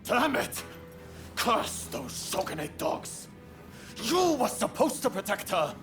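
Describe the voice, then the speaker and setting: A man's voice: high-pitched, very animated, slow, exaggerated voice. A man playing a passionate, earnest young samurai rebel in a video game cutscene, speaking formally and emphatically. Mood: anguished, angry. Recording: loud background music.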